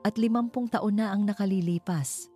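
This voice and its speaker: A woman's voice: medium pitch, moderate pace, warm tone, voice pushed up, lightly acted. A woman playing a grave, shaken elderly male retired teacher in a dramatic story. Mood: grave recounting. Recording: music behind the voice.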